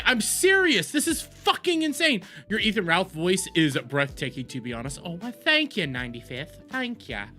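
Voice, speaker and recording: A male streamer rants to his live chat in a loose, foul-mouthed, excitable way. His pitch leaps all over the place and his tone is sharp and bright. Soft music plays faintly underneath. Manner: rambling, profane, excitable. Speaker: livestream host. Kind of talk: casual livestream chat.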